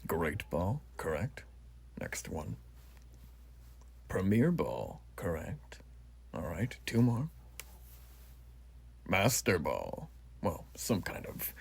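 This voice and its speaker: A man's voice: deep voice, gravelly, breathy edge, full character voice. A man playing an earnest, proud revolutionary army officer in a roleplay, speaking to the listener in a formal, rambling, stately way. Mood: brisk, clinical. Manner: formal, rambling, theatrically stately, hushed asides. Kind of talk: roleplay voice acting addressed to the listener.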